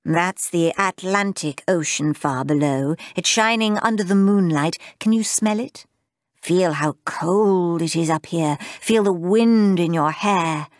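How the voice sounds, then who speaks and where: A woman doing a slow velvety great-uncle. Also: fairly low pitch, dry recording, clean studio sound, lightly acted. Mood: dreamy. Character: older man, gentle playful great-uncle.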